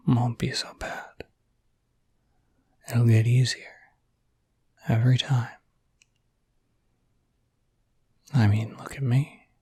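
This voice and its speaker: A man playing a gentle, caring young werewolf in an intimate whispered ASMR roleplay, speaking softly and hesitantly, with casual reassurance. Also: mid-range voice, dry recording, clean studio sound, heavily acted. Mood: soothing. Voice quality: breathy, soft whisper. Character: gentle, caring young male werewolf looking after someone.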